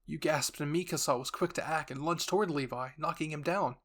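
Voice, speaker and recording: A male narrator with a fairly high voice delivers a scripted dramatic story. He addresses the listener in the second person, as in a roleplay. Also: fairly quick, a bit bright. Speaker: narrator and voice actor.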